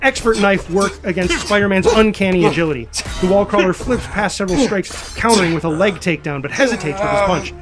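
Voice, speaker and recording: A man's voice: high-pitched, fast, hardly any pauses, over music. A man narrating action and stage directions from a script in a dramatic style.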